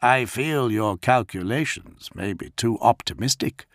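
A man's voice: medium pitch, a little slow, no room sound, clean studio sound, natural voice. A man voicing a proud, suspicious male warrior lord who speaks curtly and commandingly. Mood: stern. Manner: curt, commanding.

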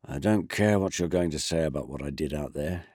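A man voicing a weary, blunt male squadron commander, talking low, flat and tired. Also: fairly quick, slightly rough, no echo, clean studio sound.

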